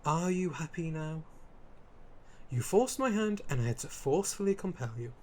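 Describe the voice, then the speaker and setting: A man's voice: fairly high pitch, animated, moderate pace, darkish tone. A man playing a centuries-old vampire lord in a scripted roleplay addressed to the listener, haughty and dramatic, wry and easily flustered. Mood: indignant, flustered.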